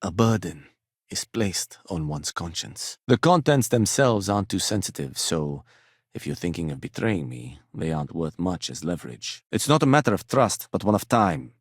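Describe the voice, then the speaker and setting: A man's voice: low voice, very animated, normal speed, no echo. A man voicing a fussy, punctual corporate office worker in a video game, speaking precisely and formally with dry condescension. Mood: measured, reflective.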